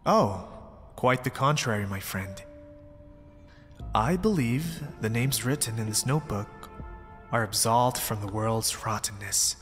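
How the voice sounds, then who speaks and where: A man's voice: mid-range voice, animated, a little slow, a bit bright. A man playing a mysterious young zealot, polite, pompous and persuasive, in an ASMR roleplay drama. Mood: coaxing. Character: mysterious, smooth-talking young male zealot cult recruiter. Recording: long reverb, background music. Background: music.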